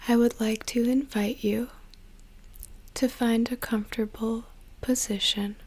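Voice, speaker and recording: A young woman whispering a slow, soothing guided meditation as an ASMR creator, with a soft breathy voice. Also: a little high, fairly even intonation.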